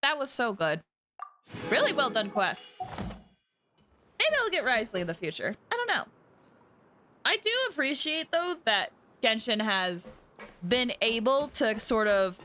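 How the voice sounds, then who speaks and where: A woman chatting casually to viewers as she hosts a game livestream, in an animated, chatty manner, sounding a little tired. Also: on the high side, a little hiss or hum. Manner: animated, chatty, with excited exclamations. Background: background music.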